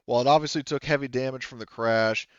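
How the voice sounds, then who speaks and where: A man narrating a tabletop roleplaying game as game master, in a halting, conversational way with asides. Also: mid-range voice, steady tone, smooth, dry recording, muffled recording.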